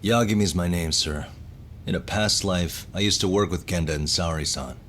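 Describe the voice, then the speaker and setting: A man's voice: low voice, normal speed, fairly bright tone, slight background noise. A man playing a cool, dry-witted private detective in a video game, speaking with composed, questioning delivery. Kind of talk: English video game dub acting.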